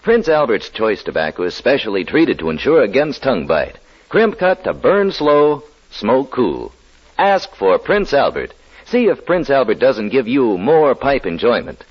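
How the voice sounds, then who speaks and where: A man announcing a commercial in the style of 1940s radio, with a husky voice. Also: mid-range voice, very animated, a little slow. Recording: muffled vintage radio broadcast.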